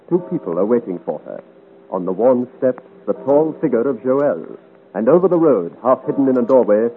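A man narrating a 1950s radio drama in a literary, scene-painting, dramatic manner. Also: medium pitch. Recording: tinny vintage radio recording. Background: loud-ish music.

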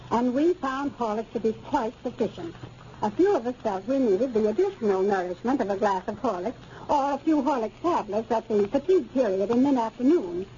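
Mellow high-voiced American woman, 1930s radio testimonial. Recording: muffled, noisy 1930s radio recording.

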